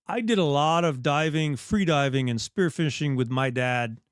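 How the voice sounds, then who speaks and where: A man's voice: on the high side, moderate pace, clean tone, dry recording. Chatty man, dry close sound. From Bahamas. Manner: rambling, candid, with restarts. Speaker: dive shop host. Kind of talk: casual live chat.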